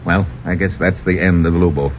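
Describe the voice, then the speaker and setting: An actor playing a tough American adventure hero in old-time radio style, with clipped, slangy, wisecracking delivery and an American accent. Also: male voice, sits low, steady tone, brisk, faint music behind, close to an everyday voice. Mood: wry, relieved. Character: tough, quick-thinking American hero, wry and commanding. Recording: noisy, muffled old radio recording.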